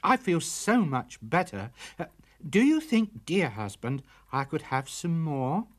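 Sweet, coaxing fairy-tale wife, done by a man, raised pitch, polite, lilting widely. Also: big pitch swings, a little slow. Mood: pleased, coaxing. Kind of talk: storybook character voice.

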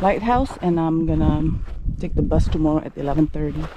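Middle-aged female solo hiker, out-of-breath narration to an arm's-length camera, loud wind outside. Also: deep voice, brisk. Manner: breathy exclamations, thinking aloud. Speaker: solo long-distance hiker. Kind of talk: first-person hiking vlog narration. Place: open windy moorland outdoors. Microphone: camera held at arm's length. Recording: dull outdoor camera audio with wind noise.

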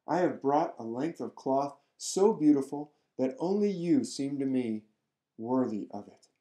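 A man's voice: medium pitch, animated, measured pace, roomy sound, pitched above the performer's own voice, close to an everyday voice. A man voicing a humble, eager little old woman in a folk tale he reads aloud. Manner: raised, bright storytelling voice.

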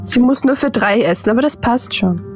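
A woman's voice: a little high, expressive, brisk. A woman taking part in a tabletop role-playing game, chatting casually and narrating her character. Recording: faint music behind the voice. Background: faint music.

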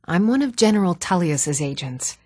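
A woman playing poised, articulate townswomen and noblewomen in a fantasy video game, with measured, formal delivery. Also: fairly low pitch, big pitch swings, a little mellow, no echo, clean studio sound. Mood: confiding. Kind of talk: scripted fantasy game dialogue.

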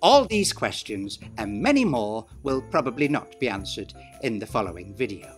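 A middle-aged man narrates with the playful, exaggerated flair of a pantomime dame, his pitch leaping and swooping all over the place. He speaks from a small dressing room at desk distance from the camera, with faint music behind him. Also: big pitch swings, normal speed. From Britain. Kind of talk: scripted explainer narration.

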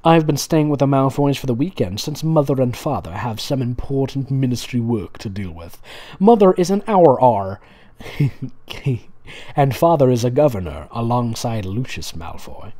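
A man with a relaxed, mellow voice and very lively intonation hosts a comedic reading. He slips between reading aloud and dry, sarcastic commentary, casual and mocking throughout. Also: mid-range voice, moderate pace. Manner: sarcastic, mocking, dramatic asides. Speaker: host reading and mocking fan fiction.

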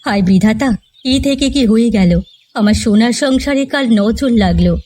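A woman's voice: on the high side, lively intonation, moderate pace, dark tone. A woman playing a proud rich housewife fallen on hard times in a melodramatic cartoon tale, plaintive and dramatic. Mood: distressed.

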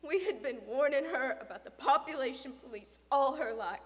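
Woman playing a forceful, grieving, suspicious father. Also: fairly high pitch, animated, unhurried, in a large hall. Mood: anguished. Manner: forceful, emotional interrogation. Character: stern, suspicious, grieving middle-aged father with a gun. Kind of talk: dramatic audiobook character acting.

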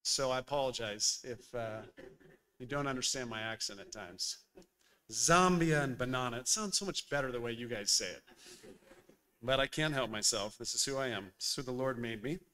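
A man, preaching a sermon at a church pulpit in a lively, conversational way with frequent filler words. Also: medium pitch, moderate pace. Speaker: pastor. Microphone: boom mic on a stand at the pulpit.

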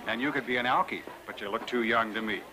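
An actor playing a teasing, wisecracking husband at a meeting. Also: male voice, mid-range voice, fast, noisy background, lightly acted. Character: gruff, teasing sober husband.

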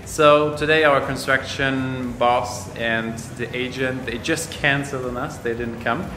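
A man's voice: mid-range voice, steady tone, a little slow, noisy background. A man in his twenties with a German accent, talking casually and explaining things to the camera as a vlogger. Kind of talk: casual vlog talk to camera. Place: villa rooms, a furniture shop, roadside. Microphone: camera at arm's length.

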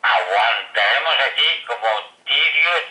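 A man with a Spanish accent from Spain, talking bluntly and gruffly as a repairman over a telephone line. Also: medium pitch, animated, measured pace, sharp tone. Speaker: repairman taking a customer's call. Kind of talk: impromptu phone conversation.

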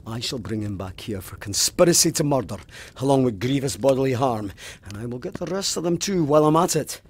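Stern pious sergeant, Scottish accent, officious, animated intonation, audio drama. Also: male voice, medium pitch, fairly quick, husky. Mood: righteously indignant. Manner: officious, pompous, indignant. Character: stern, pious, unyielding male police sergeant. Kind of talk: full-cast audio drama acting.

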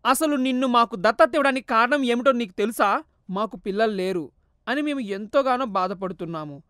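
A firm, wealthy middle-aged father lays out the truth, as a woman voices him. The voice stays high and steady, recorded dry and close, in a storybook cartoon. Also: a little fast, dry recording.

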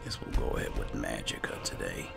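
A man narrating his roleplay gameplay, musing aloud in a hesitant mutter that often trails off. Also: a little fast. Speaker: gaming host roleplaying his character. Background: music.

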